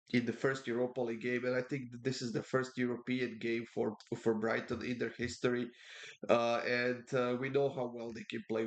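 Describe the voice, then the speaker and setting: A man with a congested voice from a cold, giving casual soccer betting picks, with lots of fillers and repeats. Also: mid-range voice. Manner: halting, many uh fillers and repeats. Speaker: sports betting tipster. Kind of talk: casual betting preview talk.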